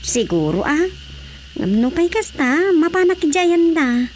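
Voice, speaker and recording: Radio drama role: modest young woman of the family played by an actress, high voice, faint noise. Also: sing-song, measured pace, a little mellow. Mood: concerned. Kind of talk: Ilocano radio drama acting.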